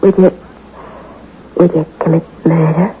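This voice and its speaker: A woman's voice: low voice, flat delivery, a little slow. A scheming nightclub siren coos and coaxes her man in a sweet, wheedling voice. The sound is dull and lo-fi, with clicks and noise under it, like an old radio play. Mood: coaxing. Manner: coaxing, sweet-talking. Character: seductive scheming nightclub pianist woman. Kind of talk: 1950s radio drama acting.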